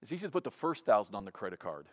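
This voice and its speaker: A man's voice: lowish voice, big pitch swings, racing. A man in his fifties delivers a sermon in a conversational preaching style. He often restarts his phrases by repeating words before he carries on. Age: 50s. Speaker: pastor.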